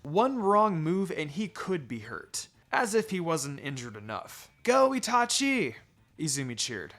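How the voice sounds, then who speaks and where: A man's voice: a little high, sing-song, unhurried, a bit bright. A man reading fan fiction aloud as a dramatic, theatrical narrator who acts out the dialogue. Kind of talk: dramatic scripted narration of a story. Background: background music.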